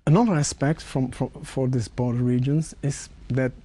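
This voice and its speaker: A man whose first language is Dutch, interviewing an official in a measured way with halting restarts. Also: medium pitch, fairly even intonation, mellow, slightly rough. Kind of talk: formal interview question.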